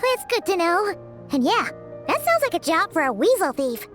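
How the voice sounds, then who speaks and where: A woman voicing a tiny floating female companion who is excitable and chatty and speaks of herself in the third person, in a video game cutscene. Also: very high voice, normal speed. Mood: excited, chatty. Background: game background music.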